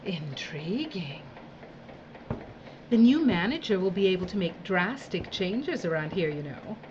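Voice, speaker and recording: A theatrical, tyrannical lady boss, drawls with mock sweetness and swoops up and down. She is condescending and slow, in a dystopian radio play with slightly dull sound. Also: fairly low pitch, big pitch swings, a little mellow, slight background noise. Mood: gleeful, scheming. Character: domineering, theatrical, sadistic female boss of dystopian work crews. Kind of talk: theatrical audio drama acting.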